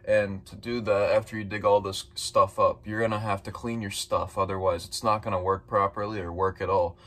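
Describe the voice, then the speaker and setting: A raspy young man who just woke up, giving a laid-back, blunt tutorial that he peppers with casual swearing. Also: on the low side, little pitch movement, brisk, pushed and bright. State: just woken up. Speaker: retro gaming YouTuber.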